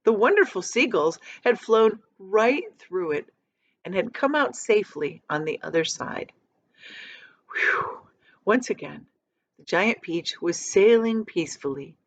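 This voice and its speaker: Animated woman reading a storybook aloud. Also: mid-range voice, slight background noise. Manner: dramatic, emphatic repetition and pauses. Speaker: teacher reading a children's book aloud. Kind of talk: expressive storybook read-aloud.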